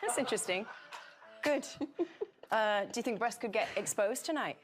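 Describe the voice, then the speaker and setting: A woman's voice: on the high side, animated, moderate pace. A woman sports reporter asking playful, teasing questions in a studio segment. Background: music.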